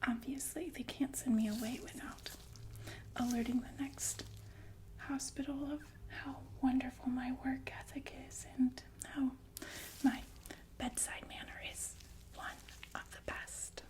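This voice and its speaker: A woman's voice: high voice, steady tone, poor audio. Whispering sinister killer nurse played by a woman. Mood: smug, confiding. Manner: unhurried, hesitant, softly matter-of-fact about death. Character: calm, sinister killer nurse, polite and chillingly chatty. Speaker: ASMR performer. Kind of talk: whispered ASMR roleplay monologue.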